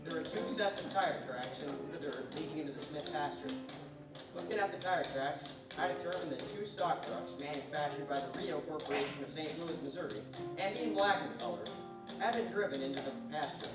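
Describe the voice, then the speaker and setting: A young man playing a terse, deadpan cowboy lawman who narrates and questions in a western radio parody on stage. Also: a little high, lightly acted. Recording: echoey stage, distant mic, music behind.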